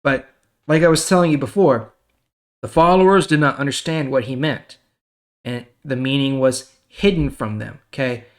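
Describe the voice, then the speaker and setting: A man's voice: mid-range voice, moderate pace. A man reading the Bible and explaining it in a casual, conversational way as host.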